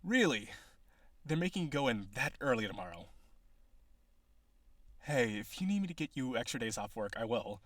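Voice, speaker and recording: Smug corporate villain on a date, played by a man: teasing, sardonic, conversational, animated intonation, dry recording, flirty roleplay audio. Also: mid-range voice, normal speed, long pauses, no echo. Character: cocky flirtatious male businessman villain on a date.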